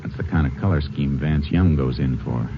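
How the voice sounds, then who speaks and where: An actor playing a steady, decisive Texas Ranger in a 1950s radio drama, speaking in a terse, businesslike way. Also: male voice, rumbling low, moderate pace, background noise. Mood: decisive, alert. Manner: terse, businesslike, matter-of-fact. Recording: muffled old 1950s radio broadcast, music.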